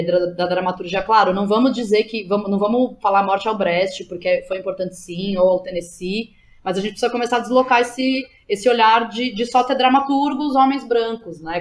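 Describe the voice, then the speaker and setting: A woman with a Brazilian accent speaking casually as an interview guest, colloquial, effusive and emphatic. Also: mid-range voice. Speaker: podcast guest, playwright and educator.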